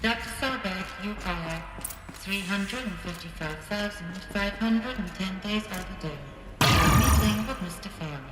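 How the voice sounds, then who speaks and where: A woman's voice: medium pitch, a little slow, long pauses, over loud music, lightly acted. A woman voicing an officious automated office receptionist in a game, formal, precise and impersonal.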